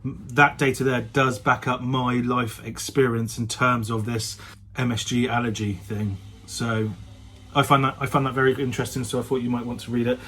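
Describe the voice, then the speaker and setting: A man's voice: mid-range voice, level tone, normal speed, fairly bright tone, husky. A man vlogging in a casual chatty style, often hedging and correcting himself as he goes. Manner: self-correcting, hedging his claims. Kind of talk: casual talk to camera.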